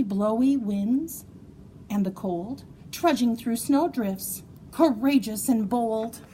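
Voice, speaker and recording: A woman reading a children's story aloud in an expressive, playful way, with dramatic emphasis and drawn-out words. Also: high-pitched, slight background noise. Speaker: storybook reader.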